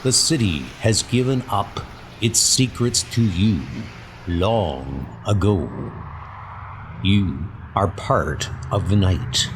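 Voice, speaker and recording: Low, very slow ominous male narrator. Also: background noise. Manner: ominous, deliberate. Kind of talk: dramatic scripted comic book narration.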